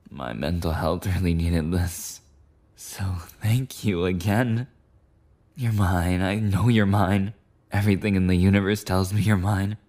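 A man's voice: low-pitched, a little mellow, full character voice. A man playing a clingy, insecure, possessive boyfriend in an intimate ASMR roleplay, rambling breathily and often slipping into whispers. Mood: adoring, needy.

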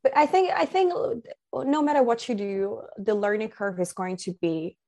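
A woman's voice: medium pitch, normal speed, a little mellow. A young woman from Finland, a guest on a podcast, talking casually and thoughtfully with many fillers and restarts.

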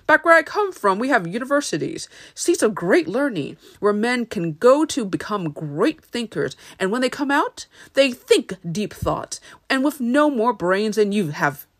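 A woman's voice: fairly high pitch, big pitch swings, a little slow, hardly any pauses, exaggerated voice. A woman voicing a grandiose male wizard, a kindly humbug who declaims pompously in a storybook reading. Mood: lofty.

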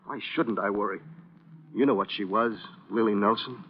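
An actor playing an anguished, lovesick driver who is breaking down, in an old-time radio crime drama. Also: male voice, lowish voice, normal speed, natural voice. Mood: agitated worry. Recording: muffled, noisy vintage radio recording.

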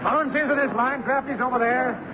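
A man playing a brisk, genial army recruiter who calls out orders in a 1940s radio comedy. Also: very high-pitched. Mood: brisk, barking. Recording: muffled, noisy old radio broadcast.

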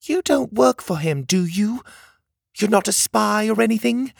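A bossy little mechanical girl made of gears, scolding and impatient, with a high, jumpy voice that swings all over, as a male narrator puts her on. A husky, gravelly edge runs under it, and the reading is close and dry. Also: animated, a little slow, dry recording, full character voice. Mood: urgent. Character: small bossy mechanical cog girl, feisty. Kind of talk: storybook character voice.

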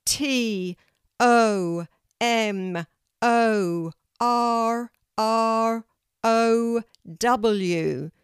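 A woman's voice: on the low side, lively intonation, unhurried, a little mellow, dry recording, studio quality. A woman voicing an eager, earnest young girl in a children's storybook, careful and a little unsure. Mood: careful, loud.

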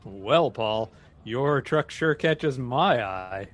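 A man's voice: medium pitch, expressive, extremely slow, faint music behind. A man playing a curious, friendly roving reporter and host in a comedy sketch.

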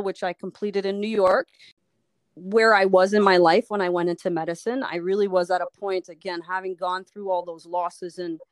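A middle-aged woman from Liberia speaks with a smooth, resonant voice in a dry, close sound. She is a physician telling her story as an interview guest. She talks thoughtfully and often pauses to restart a phrase. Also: mid-range voice, no room sound. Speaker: sports medicine physician, guest. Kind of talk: reflective personal story in an interview.

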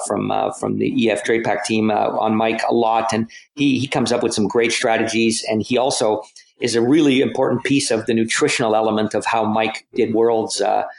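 A man in a podcast discussion about training science, talking haltingly with many restarts. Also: on the low side, little pitch movement, vocal fry. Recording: roomy echo.

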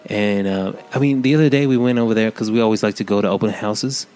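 A man's voice: fairly low pitch, steady tone, fast talker, a little mellow, soft background music. A man from Texas co-hosting a casual podcast, chatty and asking his co-host questions. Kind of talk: casual chat.

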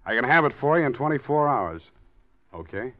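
A man's voice: mid-range voice, very animated, fairly quick, natural voice. An actor playing a wary middle-aged man who weighs every word, in a muffled old radio drama. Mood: guarded. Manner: guarded, hesitant, clipped replies. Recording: muffled 1940s radio recording.

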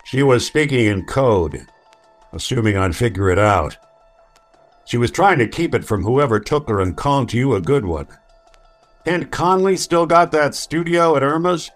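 An actor playing a world-weary, hard-boiled male detective in an old-time radio drama, speaking tersely and deliberately. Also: medium pitch, lively intonation, soft background music, lightly acted. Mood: terse, wry.

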